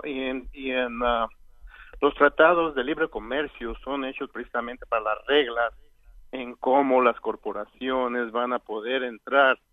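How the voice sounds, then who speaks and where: A man's voice: mid-range voice, no echo. A man calling in to a radio show, arguing his point in an earnest way. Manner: earnest, argumentative. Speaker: radio caller. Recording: tinny phone line.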